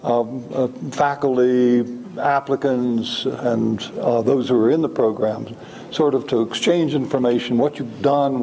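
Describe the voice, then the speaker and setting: A man's voice: medium pitch, dark tone. A man facilitating a group meeting, speaking casually and hesitantly as he lays out the plan. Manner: hesitant, thinking aloud.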